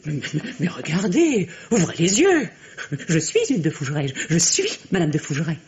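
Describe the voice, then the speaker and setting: An actress playing a bitter, unhappy middle-aged wife in a French radio drama, halting and repeating words with volatile emotion. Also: female voice, lowish voice, sing-song, a little mellow, husky, heavily acted. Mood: hysterical, mocking. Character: bitter, grey-haired, unhappy wife, trapped and theatrical.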